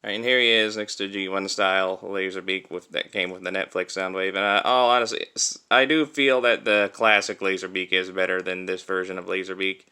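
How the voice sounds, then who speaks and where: Guy doing a casual toy review, kinda low voice but really bright and forward. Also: fairly even intonation, a little fast, few pauses, smooth. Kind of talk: casual hands-on product demonstration.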